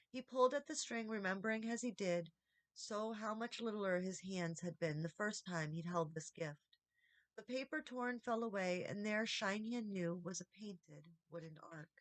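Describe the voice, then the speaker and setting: A woman reading a children's story aloud as expressive audiobook narration. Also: medium pitch, no room sound. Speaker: audiobook reader.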